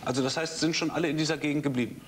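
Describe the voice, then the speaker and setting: A man's voice: a little high, steady tone, rapid-fire, slight background noise. A man in his thirties hosting a TV talk show, asking questions and murmuring acknowledgments. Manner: attentive, with frequent murmured acknowledgments. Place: TV studio with audience.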